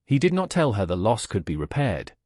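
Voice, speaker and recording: Guy narrating an audiobook, measured and literary, slightly husky, very dry recording. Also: on the low side, huge pitch swings, a little mellow, no room sound.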